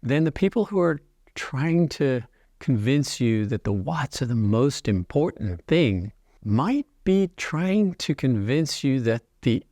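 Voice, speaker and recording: A man giving an explanatory talk as a company founder and designer, speaking in measured, deliberate phrases with pauses. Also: mid-range voice, sing-song, darkish tone, no echo, clean studio sound. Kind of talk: explanatory product talk to camera.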